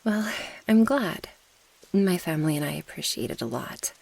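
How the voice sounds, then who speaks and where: A woman playing an earnest, protective young mage girl in a fantasy ASMR roleplay, with a soft, breathy voice. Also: on the low side, very animated, moderate pace, no echo, full character voice, poor audio. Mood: friendly, gentle.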